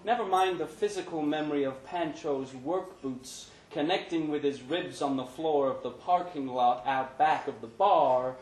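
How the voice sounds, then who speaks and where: A man giving a measured, dramatic live reading of a literary short story. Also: a little high, a little mellow. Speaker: story reader. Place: pub event room, live reading. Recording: echoey pub room, noticeable background noise.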